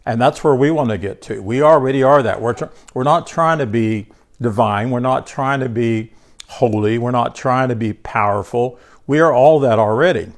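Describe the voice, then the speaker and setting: A man's voice: mid-range voice, moderate pace. An older man with a congested voice teaching scripture to a small group. He talks informally, repeats and restarts words, and addresses listeners by name. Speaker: bible teacher.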